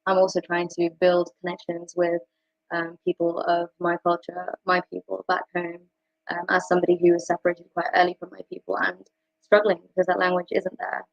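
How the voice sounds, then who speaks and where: Muffled, even-toned young British woman. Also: fairly low pitch, fairly quick, creaky, no echo. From United Kingdom. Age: 20s. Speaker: panellist. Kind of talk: reflective panel discussion, personal sharing. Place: dry room on a video call. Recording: muffled lo-fi video call audio.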